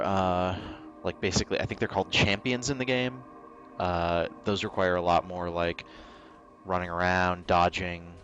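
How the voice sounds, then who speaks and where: A man's voice: low voice, fairly bright tone. A man giving casual live gameplay commentary as a streamer, offhand and dryly self-mocking. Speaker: game livestreamer. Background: game music.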